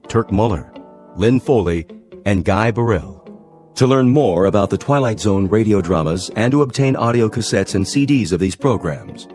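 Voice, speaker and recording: A male announcer reads formal scripted credits for a radio programme. His voice is fairly low, with music running underneath. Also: a little mellow. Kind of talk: scripted broadcast credits reading.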